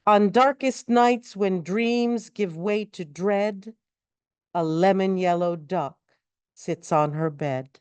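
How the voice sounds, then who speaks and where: Slow, clear-voiced woman reciting poetry. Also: mid-range voice, a little mellow, no echo. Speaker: poetry reader.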